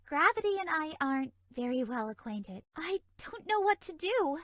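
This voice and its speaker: A sweet, naive fairy for a video game. Her voice is extremely high and airy, with animated, bouncy melody. She sounds innocently flirty, in a very dry recording. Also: moderate pace, dry recording. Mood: bewildered, anxious. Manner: bubbly, innocently suggestive, airy. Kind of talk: video game character dialogue.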